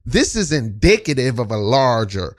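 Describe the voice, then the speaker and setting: A man plays a self-important rapper with a grievance in an improvised comedy sketch. His pitch jumps around in a lively way as he stammers, repeats himself and makes pompous declarations. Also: moderate pace, natural voice. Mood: indignant. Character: aggrieved, self-important male rapper, easily offended, stubborn. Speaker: guest comedian.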